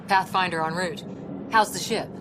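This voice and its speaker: A lowish female voice with clear noise behind it: an actress as a confident soldier in a video game. She is wry and blunt. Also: fairly even intonation, normal speed, close to an everyday voice. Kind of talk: video game cutscene acting. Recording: clear background noise and ship ambience.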